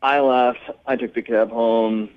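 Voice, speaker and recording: A man's voice: mid-range voice, flat delivery, measured pace, clean tone, phone-quality sound. A man talking candidly as a guest on a radio phone segment, blunt and sarcastic in an exasperated way.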